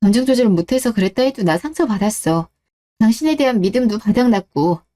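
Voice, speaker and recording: A woman's voice: on the high side, lively intonation, a little fast, warm tone, natural voice. A woman playing a betrayed, cool-headed wife who questions and reproaches in radio-drama dialogue. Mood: cold, reproachful. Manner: cold, interrogating, reproachful.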